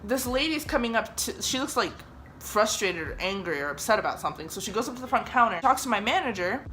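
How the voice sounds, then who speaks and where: A woman's voice: fairly high pitch, fast talker, poor audio. Chatty swearing young woman. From Germany. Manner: chatty, swears often, lively re-enacted quotes. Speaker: vlogger telling a story. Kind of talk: casual storytime.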